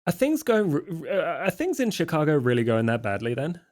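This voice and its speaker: A man playing a hesitant but eager young vampire gang member in a tabletop game, talking in a halting, awkward way. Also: on the high side, wildly swooping pitch, a little mellow. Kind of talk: improvised tabletop roleplay.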